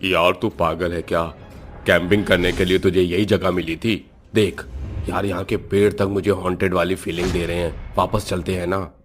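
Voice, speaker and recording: A man's voice: low-pitched, flat delivery, normal speed, pitched below the performer's own voice, close to an everyday voice. A man voicing a frightened, reluctant young camper in a horror story, complaining in slang. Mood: scared, annoyed.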